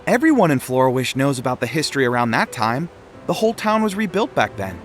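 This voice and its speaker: A man playing a proud, polite, expressive street sweeper in a video game. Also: mid-range voice, quick pace, lightly acted. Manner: expressive, earnest. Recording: music under the voice.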